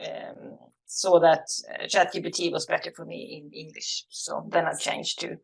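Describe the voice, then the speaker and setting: A woman with a Swedish accent speaks as a podcast guest in a fairly low voice. She talks haltingly, starting sentences over and filling gaps with short sounds. Her English comes from a native Swedish speaker, relaxed and conversational. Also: creaky, breathy edge, low-quality recording. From Sweden. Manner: halting, with many restarts and fillers. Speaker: guest, coach. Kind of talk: casual interview.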